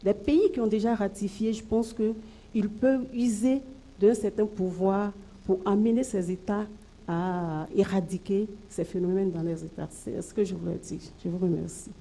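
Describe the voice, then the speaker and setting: A woman from Côte d'Ivoire presses her question to a panel, earnest and insistent. Her voice is very dark and mellow, with a gravelly edge of vocal fry. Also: medium pitch, fairly even intonation, normal speed, rough recording. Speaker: president of a women's organisation. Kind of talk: question from the floor. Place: conference hall.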